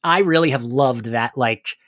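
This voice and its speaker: A man's voice: mid-range voice, unhurried, fairly bright tone, dry recording, studio quality. A man co-hosting a podcast, chatting casually and emphatically as a fan, often slipping into mock dialogue. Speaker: podcast co-host.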